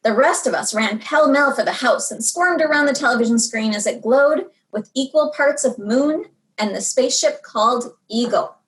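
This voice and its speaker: A woman reading a storybook aloud to young children in an expressive way, drawing out words for dramatic emphasis. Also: high voice, moderate pace, low-quality recording.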